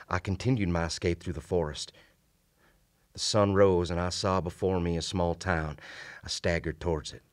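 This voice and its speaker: An actor playing a haunted, wounded Civil War soldier who narrates a radio drama in a grave, formal, measured manner. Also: male voice, low-pitched, no room sound, close to an everyday voice. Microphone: shared vintage-style studio microphone.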